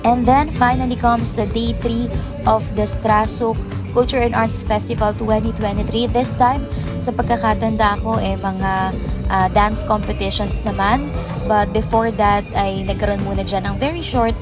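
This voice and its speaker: A woman's voice: medium pitch, level tone, unhurried. A woman with a Filipino accent narrating a casual vlog recap in a chatty, effusive way, switching between two languages. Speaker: vlogger narrating an event recap. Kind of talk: casual vlog voice-over mixing Tagalog and English. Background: music.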